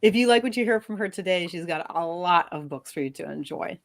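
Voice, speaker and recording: A woman's voice: fairly low pitch, animated, a little fast, creaky. A woman hosting a live video show, upbeat and chatty, introducing guests and laughing often. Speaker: host.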